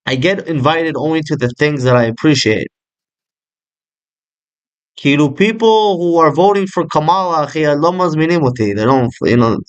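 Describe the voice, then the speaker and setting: A man's voice: a little high, fast talker, low-quality recording. A young man in casual, rambling talk, swearing freely, peppering every line with "like" and "bro" and dropping in Hebrew words. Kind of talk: casual chat.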